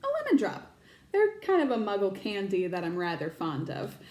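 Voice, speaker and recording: A woman voicing a calm, kindly old male wizard from a storybook, measured and gently whimsical, in an echoey room. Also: medium pitch. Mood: genial, calm.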